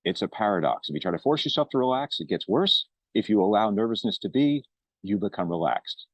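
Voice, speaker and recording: Animated low-voiced man, dull recording. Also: brisk, dry recording. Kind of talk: informal personal testimonial to camera. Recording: dull, lo-fi sound.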